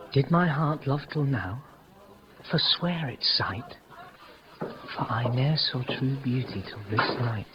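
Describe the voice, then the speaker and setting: An actor playing a lovestruck, melancholy young gentleman, speaking verse earnestly in a 1950s radio Shakespeare style. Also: medium pitch, close to an everyday voice. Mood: enraptured, tender. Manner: earnest, declamatory verse delivery. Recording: muffled, noisy old radio broadcast.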